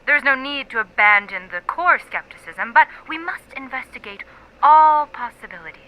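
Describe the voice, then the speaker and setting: A woman's voice: high voice, expressive, normal speed, sharp tone, rough recording. An actress playing a self-assured female academic being interviewed in an audio drama, precise, articulate and emphatic. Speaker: interviewed expert.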